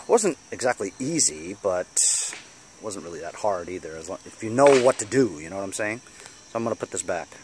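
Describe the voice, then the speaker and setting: A man's voice: low-pitched, sing-song, normal speed, slight background noise. A man giving a casual, off-the-cuff gadget review in a laid-back, colloquial way, as a vlogger talking inside a van.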